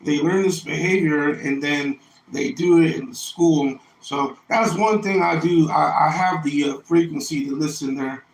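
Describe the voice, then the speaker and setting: A man's voice: a little high, unhurried. A middle-aged man chatting casually as a ham radio operator, telling anecdotes and explaining things. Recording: roomy echo with faint noise.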